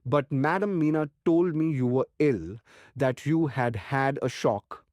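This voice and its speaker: An actor playing a kindly, courtly old doctor in an audiobook, speaking formally and earnestly. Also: male voice, mid-range voice, unhurried, a little mellow, dry recording, clean studio sound, close to an everyday voice. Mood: curious. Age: older. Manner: earnest, courtly, formal, quaint foreign phrasing. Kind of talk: audiobook character acting.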